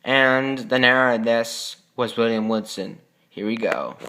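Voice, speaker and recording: A man's voice: mid-range voice, measured pace, gravelly, sharp tone, a little hiss or hum. A man giving a plain spoken introduction to a recording as its presenter.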